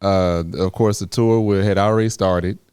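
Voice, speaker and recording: A man's voice: fairly low pitch, fairly even intonation, normal speed, darkish tone, clean tone, no echo, studio quality. A man co-hosting a podcast in casual, plainspoken chat, laid-back and teasing. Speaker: podcast co-host.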